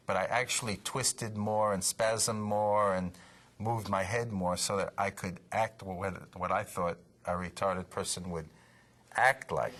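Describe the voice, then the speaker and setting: A man in his fifties speaks in a personal interview, his voice sitting very low. He stutters openly, repeating words and stalling on blocks before pushing on. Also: a bit bright, a little hiss or hum. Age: 50s.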